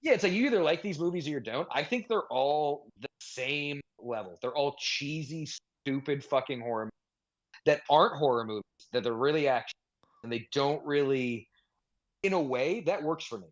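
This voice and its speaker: A man's voice: a little high, lively intonation, normal speed. A man chatting casually as a podcast co-host, giving opinions in a blunt, sweary, slangy way. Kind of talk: casual chat, opinionated commentary. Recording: dull, lo-fi voice audio.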